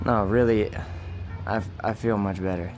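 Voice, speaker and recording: A man's voice: on the low side, steady tone, a little mellow, faint music behind. An actor playing an injured policeman in a radio drama, weak and hesitant, polite and earnest. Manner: hesitant, halting. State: weak, groggy.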